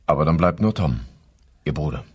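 Blunt, very low, husky inspector. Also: male voice, lively intonation, brisk, full character voice. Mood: pressing. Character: blunt, inquisitive male police inspector. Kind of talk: German crime radio play acting.

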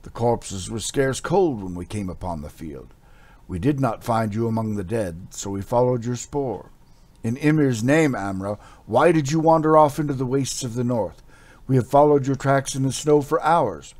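Rough urgent warrior rescuer in a read-aloud fantasy tale, performed by a man, raised higher voice. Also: lively intonation, moderate pace, a little mellow, natural voice. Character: gruff blond northern warrior, rescuer, middle-aged man.

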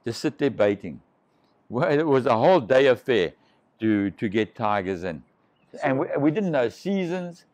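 A man's voice: mid-range voice, very animated, moderate pace, darkish tone. A middle-aged man, a shark diving pioneer, telling long rambling stories over drinks on a living room sofa, full of restarts. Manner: rambling, restarts, swears freely. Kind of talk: casual storytelling over drinks.

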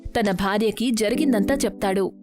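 A woman's voice: mid-range voice, moderate pace, loud music behind the voice. A woman narrator reading a scripted moral story for children.

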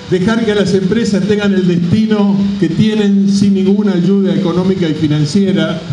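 A middle-aged man gives a measured, deliberate public speech as a company representative at an outdoor podium. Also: high voice, mellow. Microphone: microphone on a stand at a podium. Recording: roomy distant PA microphone, background noise.

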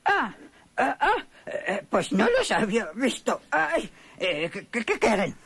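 A frail old Mexican peasant in a radio drama, husky and very slow. He stammers evasively in a rustic way, his pitch swooping wildly. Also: wildly swooping pitch, fairly bright tone, exaggerated voice. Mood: drowsy, confused. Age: older. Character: frail, poor, drowsy old male peasant, evasive, mocking.

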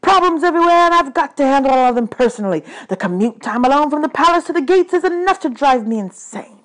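A woman's voice: high voice, expressive, pitched above the performer's own voice. Lady doing a pissed off underworld god guy from a kids book, bossy, ranting, leans hard on every word. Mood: angry ranting. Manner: imperious, ranting, emphatic drawn-out words. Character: angry, aggrieved male god of the underworld.

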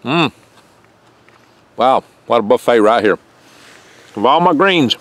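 An older man talks casually outside in a garden among pine trees, holding the camera close as he speaks. His delivery is folksy and meandering, with little jokes and occasional stumbles over his words. His pitch sits in an ordinary middle range for a man. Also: animated, slow, fairly bright tone. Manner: rambling, folksy, chatty with small jokes and stumbles. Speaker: foraging channel host. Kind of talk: casual outdoor foraging vlog. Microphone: handheld camera mic held close.

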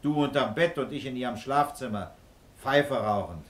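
Guy playing a calm paternal detective in a radio drama, coaxing, pitch moves around a lot, bit echoey. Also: moderate pace, lightly acted. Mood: calm persuasion. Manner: paternal, patient, persuasive. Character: fatherly, calm middle-aged police commissioner, coaxing and probing. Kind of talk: German radio crime drama acting.

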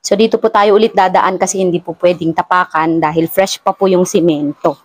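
A woman's voice: on the low side, fairly even intonation, fairly quick. A middle-aged woman giving a polite tour of her house under renovation, guiding the viewer courteously from room to room. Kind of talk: polite walkthrough narration.

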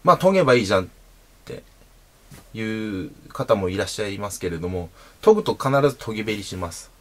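A man in his thirties talks to the camera in a small indoor room, explaining things patiently and stopping often on fillers. His voice sits low but rises and falls widely, hopping up in pitch to stress key words. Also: animated, fairly bright tone. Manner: explains patiently with many fillers and pauses, demonstrating with a sword. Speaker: sword channel host. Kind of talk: casual explanatory talk to camera.

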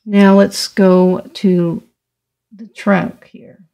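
A middle-aged woman teaching watercolor step by step, speaking haltingly as she thinks aloud while painting. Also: mid-range voice, flat delivery, gravelly, mellow. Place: indoors, small studio room at a table.

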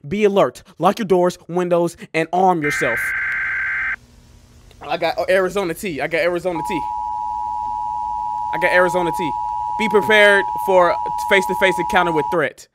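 A young adult man giving casual, excitable reaction commentary in a jumpy, slangy, joking and rambling way, close on the microphone. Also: high voice, normal speed, heavy background noise. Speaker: reaction video host. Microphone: close microphone at a desk. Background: music from the watched videos.

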